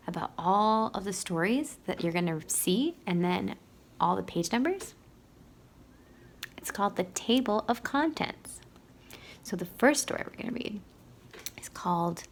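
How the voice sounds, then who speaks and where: A woman's voice: medium pitch, gravelly, slight background noise. A woman reading a storybook aloud to young children in a teacherly way, putting questions to her listeners.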